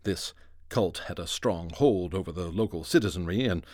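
An actor playing a scholarly, discreet professor giving a measured, slightly hesitant radio interview in a drama. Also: male voice, low voice, darkish tone, no echo, clean studio sound. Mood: guarded, grave. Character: reserved, scholarly male archaeology professor.

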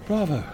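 Radio-play villain: male actor as a courteous, menacing killer, animated delivery, noisy rumbling track. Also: heavily acted. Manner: cold, polite and deliberate. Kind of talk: audio drama acting. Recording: noisy recording with a low rumble.

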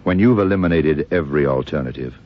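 An actor playing a shrewd, methodical detective in an old radio crime drama, gravelly and deliberate, musing as he questions. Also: a bass voice. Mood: working it out. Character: shrewd, methodical middle-aged American male detective. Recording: dull vintage radio drama recording.

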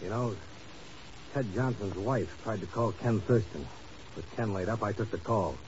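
An actor playing a determined, defiant secret agent in a 1950s radio drama. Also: male voice, on the low side, normal speed, close to an everyday voice. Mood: urgent. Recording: dull, hissy 1950s radio recording.

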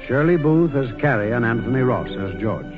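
Radio announcer over music. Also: male voice, mid-range voice, big pitch swings, normal speed. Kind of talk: formal 1940s radio announcing. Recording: muffled old radio broadcast.

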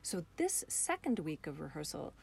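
A woman's voice: low voice, sing-song, moderate pace, gravelly, darkish tone, slight background noise. A woman talking casually and expressively as an interview guest, a playwright and director describing things with enthusiasm. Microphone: computer call, also recorded on her phone.

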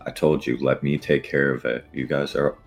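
A man with a very deep, husky voice plays a tabletop game, talking in the first person as his character. His lines come across as a player speaking in character rather than a narrator. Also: level tone, normal speed, faint music behind.

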